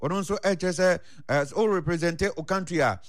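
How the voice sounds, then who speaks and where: Male sports news presenter, Ghanaian accent, voice on the high side. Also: normal speed. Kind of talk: sports news report with interview clips.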